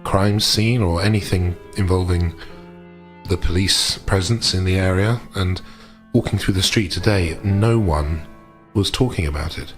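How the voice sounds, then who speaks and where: A man playing a reserved, polite gentleman investigator in a tabletop roleplay, speaking formally and hesitantly. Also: low-pitched, soft background music. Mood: uneasy, puzzled. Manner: hesitant, measured, formal period phrasing.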